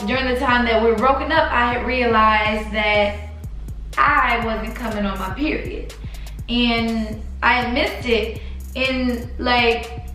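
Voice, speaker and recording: A young woman tells a personal story to her viewers in a relaxed, confiding way. Her voice is a little high and heavy with late-night sleepiness. The room rings with strong echo, and faint music plays underneath. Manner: chatty, confiding, addresses viewers as y'all. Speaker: vlogger. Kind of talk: casual storytime.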